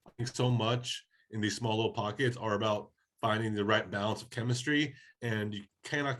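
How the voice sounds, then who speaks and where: A man from Oregon co-hosting a soccer podcast, talking in a relaxed, casual way while slightly hoarse from a head cold. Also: medium pitch. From Oregon, USA. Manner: laid-back, conversational, many fillers. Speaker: podcast co-host.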